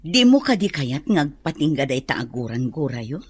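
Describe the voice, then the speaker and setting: Caring radio drama lady: very low, husky, animated delivery. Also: moderate pace, heavily acted. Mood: kindly, probing.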